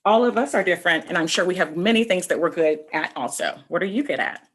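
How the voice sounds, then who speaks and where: A woman reading a picture book aloud to children, explaining things simply with asides and questions in a slightly husky voice. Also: on the low side, quick pace. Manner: expressive, explaining simply and addressing young listeners with questions. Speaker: read-aloud host for children.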